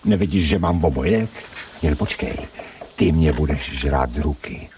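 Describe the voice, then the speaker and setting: A man's voice: very deep voice, background noise. A man playing a smug, gluttonous pet dog in a radio play, savouring his thoughts and murmuring with relish. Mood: cocky, wheedling. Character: smug, gluttonous male stray dog turned pampered pet. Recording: distant-miked radio production with music.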